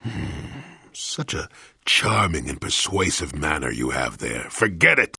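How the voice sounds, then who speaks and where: Wanted: gruff elderly ex-warrior in a video game, deep and raspy, wry and rueful, with big dramatic swings. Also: male voice, sing-song, a little slow, bright, forceful tone, no room sound, clean studio sound, heavily acted. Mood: crotchety, irritable. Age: older. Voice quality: gravelly, husky. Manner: wry, rambling, dramatic storyteller. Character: gruff, rueful, cantankerous old male former Jedi. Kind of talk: scripted video game voice acting.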